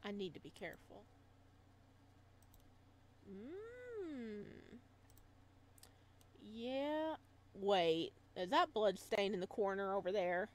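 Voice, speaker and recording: A woman doing a let's play, reading story text aloud and adding expressive, chatty reactions in a casual style. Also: mid-range voice, slow, pauses often, slight background noise. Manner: expressive, chatty asides between read passages.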